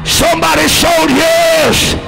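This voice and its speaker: A man preaches at full volume, his voice very high and gravelly as he hammers out repeated calls. Music plays clearly behind him. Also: fairly even intonation, slow pace, poor audio. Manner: shouting, chanting repeated calls. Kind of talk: shouted call-and-response sermon.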